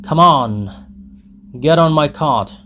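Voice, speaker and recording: A man's voice: a little high, expressive, slow, pushed and bright, a little hiss or hum, pitched above the performer's own voice, exaggerated voice. A man voicing a drunken, boastful young peasant who bellows at his friends. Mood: boastful shouting. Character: drunken young male peasant, loud brute.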